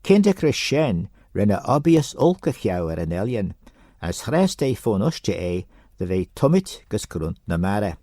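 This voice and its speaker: Male radio reader, deliberate bilingual storyteller, low gravelly warm tone. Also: sing-song, no echo, studio quality. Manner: slow deliberate reading aloud. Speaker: radio presenter reading a story.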